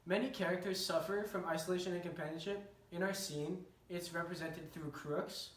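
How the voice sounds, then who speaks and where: A man's voice: high-pitched, fairly even intonation, moderate pace. A young man giving a rehearsed class presentation, recorded indoors on a distant camera. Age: young adult. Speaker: student presenter. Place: indoor room with curtained window. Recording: echoey room, distant camera mic.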